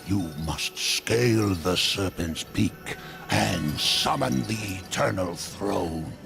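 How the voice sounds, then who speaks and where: A man's voice: sits low, lively intonation, slow pace, vocal fry, over music, exaggerated voice. A man playing a sly, ancient otherworldly merchant in a video game, unctuous and theatrical in manner. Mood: sly, ominous. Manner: unctuous, theatrical, amused, chuckling. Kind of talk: video game character acting.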